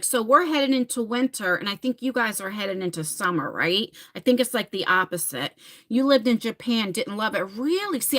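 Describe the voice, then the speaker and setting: A chatty woman in her late forties, from New Jersey, talks into her phone to her live-stream viewers. Her voice is bright and a touch high. Her pitch swings widely as she laughs and answers comments. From New Jersey, USA. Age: 40s. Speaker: livestream host.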